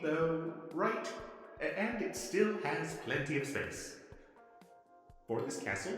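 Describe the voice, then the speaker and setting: A man playing an eager, pushy wizard salesman in a comedic fantasy roleplay, chatty and stammering through his sales patter. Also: on the high side.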